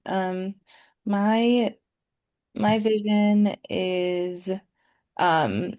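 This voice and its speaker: Woman, panel guest, hesitant delivery with fillers, low gravelly voice. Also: darkish tone. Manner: hesitant, many ums and restarts. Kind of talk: casual panel discussion.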